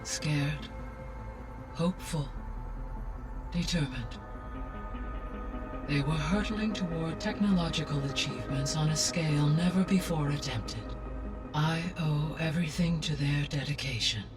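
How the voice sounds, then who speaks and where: A man acts out a gentle, erudite male AI from a video game. His voice sits slightly high, and he speaks with calm, formal precision. Music plays clearly underneath. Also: natural voice. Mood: measured, informative. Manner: measured, formal, precise delivery. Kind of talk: scripted video game dialogue.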